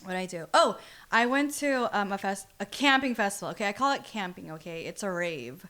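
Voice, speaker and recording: A young American woman telling stories in a casual, slangy, excitable way as a podcast guest. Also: mid-range voice, fairly quick. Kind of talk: casual storytelling chat.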